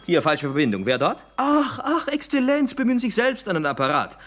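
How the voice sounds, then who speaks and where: A man playing a wry, excitable gentleman playwright who muses with playful pomposity in a radio play. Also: sits high, a little fast, noisy background. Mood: mock-scolding.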